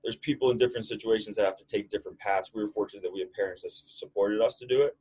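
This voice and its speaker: A man's voice: on the low side, racing, darkish tone, husky, rough recording. A man in his late twenties, a strength coach co-hosting a podcast, talking bluntly in casual chat and swearing freely.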